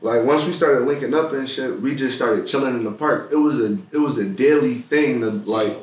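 A young man talks in slangy, animated bursts. He sits away from the mic, so his voice sounds distant. The room echo is strong. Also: mid-range voice, a little fast. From Philadelphia. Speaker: guest rapper. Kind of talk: casual chat with friends. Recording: echoey room, heard from afar.

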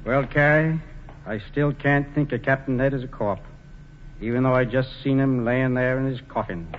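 A man's voice: mid-range voice, normal speed, lightly acted. An actor playing a plain, homespun small-town American tradesman with an apologetic way of talking, in 1940s radio drama style. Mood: solemn. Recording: dull vintage radio broadcast, some noise.

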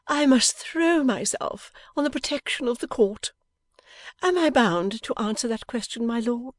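A reader's airy, coquettish society wife, swooping high. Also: wildly swooping pitch, darkish tone, slightly rough, dry recording, clean studio sound, heavily acted. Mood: gaily teasing. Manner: coquettish, wheedling, drawn-out delivery.

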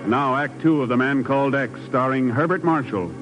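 A man's voice: medium pitch, normal speed, loud music behind the voice. Formal male radio announcer, dull vintage sound. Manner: formal, earnest. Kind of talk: 1950s scripted radio announcing and narration.

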